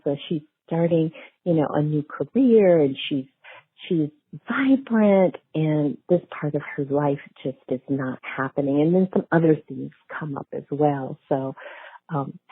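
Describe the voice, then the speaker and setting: A woman's voice: low voice, a little slow, husky, no echo, narrow, tinny audio. A woman novelist being interviewed, explaining things candidly in a conversational way. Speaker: guest, novelist.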